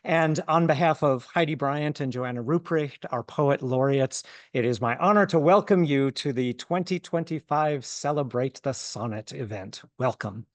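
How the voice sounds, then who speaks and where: A man's voice: mid-range voice, normal speed, dry recording. A man giving a formal welcome speech as host of a poetry awards event. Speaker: host, festival artistic director.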